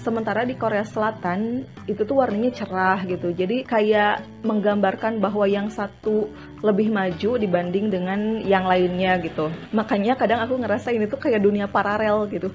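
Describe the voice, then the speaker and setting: Woman, casual reviewer, mid pitch, conversational filler-heavy delivery, faint music underneath. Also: steady tone, normal speed. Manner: chatty, many fillers and restarts. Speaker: drama reviewer.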